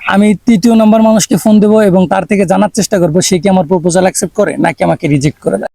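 High-voiced young Bangladeshi man, creaky. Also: fairly even intonation, very fast, warm tone.

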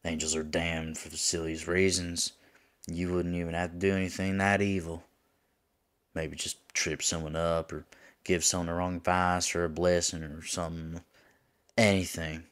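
A man playing a lovesick demon in an intimate ASMR roleplay, pleading tenderly with his angel lover in a gravelly, husky voice and folksy turns of phrase. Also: deep voice, fairly bright tone, exaggerated voice. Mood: persuasive. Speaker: voice actor in an audio roleplay.

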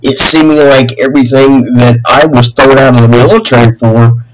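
A middle-aged man making a rambling, aggrieved and earnest complaint as a caller, halting and repeating himself in long winding sentences. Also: medium pitch, fairly even intonation, faint music behind. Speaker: caller to a government office. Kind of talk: spontaneous phone complaint, rambling monologue. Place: small room at home, indoors. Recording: humming noisy home webcam recording.

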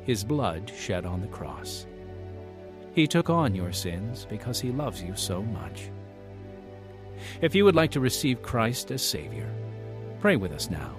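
A man hosting an evangelistic radio program, reading a script in an earnest, deliberate, clearly enunciated announcer style. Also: mid-range voice.

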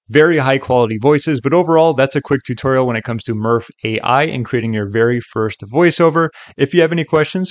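A man giving a chatty, upbeat, casual software walkthrough as a tutorial presenter. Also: medium pitch, no room sound. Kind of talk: casual screen-recording walkthrough.

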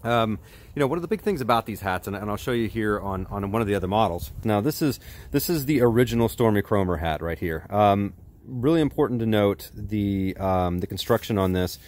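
American male, 40s, lowish voice, folksy outdoor product reviewer, recorded in a backyard. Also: lively intonation, a little fast, a little mellow.

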